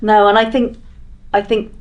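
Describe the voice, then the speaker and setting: A woman's voice: mid-range voice, level tone, normal speed, muffled recording. A woman chatting casually as a panel guest, in a chatty, self-effacing way. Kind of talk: casual chat.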